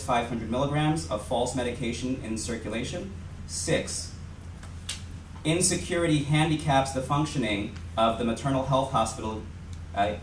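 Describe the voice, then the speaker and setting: A man speaking on a panel, earnestly reading out a list of headlines. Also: medium pitch. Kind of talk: panel talk. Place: lecture hall. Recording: echoey room, mic far off, faint noise.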